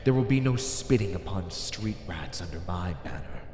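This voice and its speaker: Villain warlord, male actor for ASMR roleplay: condescending, coldly persuasive, unhurried delivery with big swings in pitch, reverberant hall. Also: animated, a little mellow. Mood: imperious, cold. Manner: deliberate, menacing, condescending, theatrical. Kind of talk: ASMR roleplay, dramatic monologue. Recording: echoey hall reverb, faint noise.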